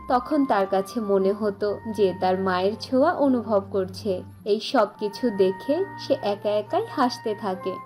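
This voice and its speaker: A woman's voice: mid-range voice, darkish tone. A woman reading scripted fairy-tale narration as the storyteller.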